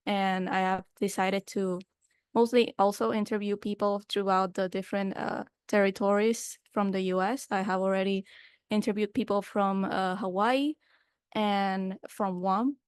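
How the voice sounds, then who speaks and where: A young woman from Puerto Rico, a journalist, introducing herself on an online panel in an earnest, animated way with frequent fillers. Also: mid-range voice, a little slow, clean tone, dry recording, clean studio sound.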